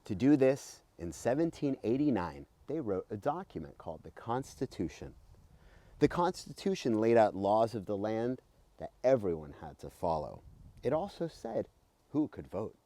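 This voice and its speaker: Very animated man reading to children. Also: fairly low pitch, normal speed, no room sound. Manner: expressive, emphatic, with rhetorical questions. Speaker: teacher reading a children's book aloud. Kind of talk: animated read-aloud for children with explanatory asides. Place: outdoors beside a house.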